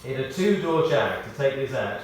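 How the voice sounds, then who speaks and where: Male eulogist, measured and drily humorous storyteller, reading a tribute in a very reverberant church, off-mic with faint noise. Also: medium pitch, expressive, far from the mic. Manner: measured storytelling with dry humour. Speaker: eulogist at a memorial service. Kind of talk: prepared spoken tribute, read aloud. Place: speaking in a large church.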